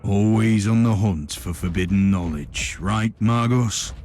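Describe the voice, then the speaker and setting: An actor playing a stern, commanding Inquisitor in a video game, speaking with deliberate authority and dry irony. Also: male voice, on the low side, darkish tone, natural voice. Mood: dry, sardonic. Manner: authoritative, deliberate, dryly ironic. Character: stern, commanding male Imperial Inquisitor, dryly sardonic. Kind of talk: scripted video game voice acting. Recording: music behind the voice.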